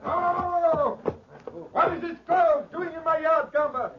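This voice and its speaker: A man's voice: very high-pitched, slow. An actor playing a stern, proud rural father in declamatory 1940s radio drama style. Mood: commanding. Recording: dull, noisy old 1940s radio recording.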